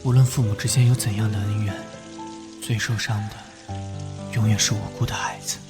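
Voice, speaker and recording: An actor playing a kindly, earnest police detective in an animated drama, with a caring, musing manner. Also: male voice, lowish voice, fairly quick, natural voice. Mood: concerned. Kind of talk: animated drama acting with inner monologue. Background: music.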